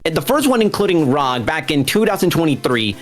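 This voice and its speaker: A speedrun racer casually commentating on their own gameplay, talking through each move in an animated way. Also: medium pitch, brisk, soft background music. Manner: animated, self-narrating play.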